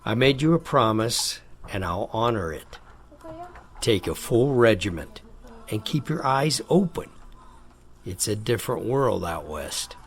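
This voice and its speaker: Man playing a slow, stern, deep general. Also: big pitch swings, slight background noise. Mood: resigned, grave. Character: stern, emotionally distant military general and father. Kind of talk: audio drama acting.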